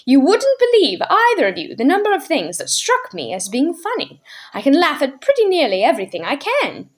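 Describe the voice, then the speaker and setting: A woman's voice: sits high, wildly swooping pitch, fairly quick, voice pushed up. A woman voicing a cheerful, round, bald uncle who bubbles with laughter. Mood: cheery.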